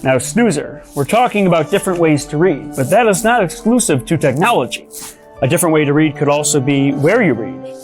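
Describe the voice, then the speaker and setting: A woman's voice: sits low, lively intonation, brisk. A woman presenting an educational children's show in an explanatory, encouraging manner. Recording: music behind the voice.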